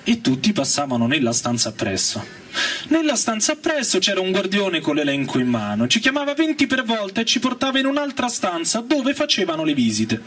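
A man's voice: high voice, slight background noise. A man giving a dramatic, colloquial reading of a novel, telling it emphatically in short phrases. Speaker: reader and performer of a novel. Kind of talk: dramatic spoken-word reading with music. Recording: distant mic under loud music. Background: electric organ and guitar music.

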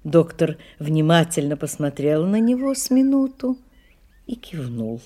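A woman's voice: deep voice, lively intonation, measured pace, mellow. A woman reading scripted literary narration as the narrator of an audio drama.

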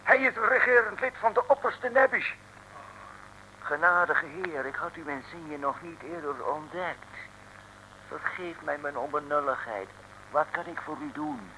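An actor playing an officious communist interrogator who bullies and grovels, in an old Dutch comic radio serial. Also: male voice, a little high, unhurried, bright, forceful tone. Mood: grovelling. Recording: faintly noisy old radio recording.

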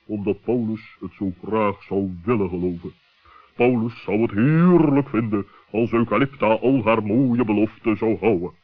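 A man's voice: fairly low pitch, normal speed, natural voice. A man playing a pompous, wary owl with wordy phrasing in a children's radio play. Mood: sceptical. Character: pompous, wary male owl, friend of gnome. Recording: muffled old narrow-band radio recording.

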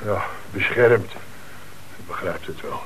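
Troubled father: working-class, deferential, halting, fairly deep voice. Slightly noisy radio drama. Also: animated, a bit bright. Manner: hesitant, humble, plain-spoken. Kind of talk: radio play acting.

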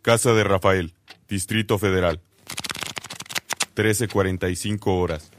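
A man's voice: low voice, steady tone, measured pace, slight background noise. A man making clipped, scripted scene announcements for a radio drama. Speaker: announcer of scene place and time.

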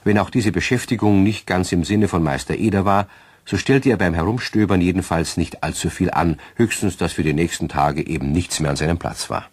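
A man with a husky voice playing the genial narrator of a children's radio play. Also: low-pitched, fairly quick, no echo. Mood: storytelling. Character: genial male storyteller of a children's tale.

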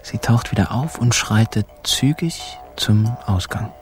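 Low-voiced, wry crime-story narrator. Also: male voice, lively intonation, unhurried, over music. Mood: wry, observant. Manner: laconic, wry, clipped phrasing. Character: wry, sly male narrator telling his own swindle. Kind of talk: radio crime play narration.

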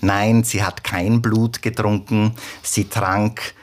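Deep-voiced man explaining matter-of-factly. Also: little pitch movement, slow. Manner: matter-of-fact, debunking. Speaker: expert interviewee. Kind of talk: explanatory interview answers.

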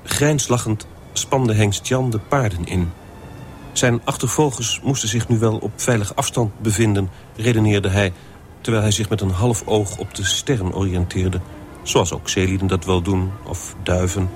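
A man's voice: on the low side, fairly even intonation, moderate pace, slight background noise, lightly acted. A man playing an earnest, stiffly polite story reader, formal and solemn, in an absurdist radio play. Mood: earnest reciting. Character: earnest, stiffly polite man reading short stories aloud.

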